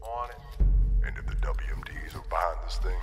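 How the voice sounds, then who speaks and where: A man playing a gruff, wry soldier in an audio drama. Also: sits low, fairly quick, over music, lightly acted. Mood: wry, businesslike. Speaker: voice actor.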